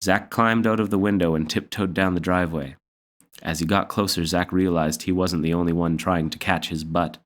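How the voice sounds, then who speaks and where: Low-voiced man reading a story. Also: steady tone, moderate pace. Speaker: story reader. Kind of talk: read-aloud children's story narration.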